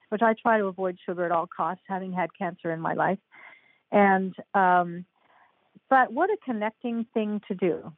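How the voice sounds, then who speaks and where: An older woman, a guest author, chatting on a podcast with enthusiasm and frequent laughter. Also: mid-range voice. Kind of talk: casual podcast chat. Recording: tinny phone-like line, dry.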